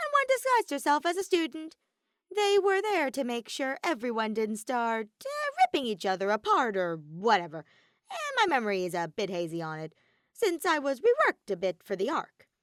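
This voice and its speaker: A woman's voice: high voice, wildly swooping pitch, moderate pace, a bit bright, dry recording, studio quality, voice pushed up, full character voice. A woman voicing a mischievous, grumpy robotic bear villain with a flippant, cartoonish delivery, in a fan story reading. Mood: flippant, scheming.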